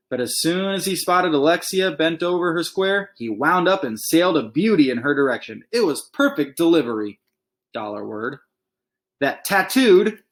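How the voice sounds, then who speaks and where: A man reading a children's story aloud in an expressive, conversational way, with casual asides to listeners. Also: fairly high pitch. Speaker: read-aloud reader.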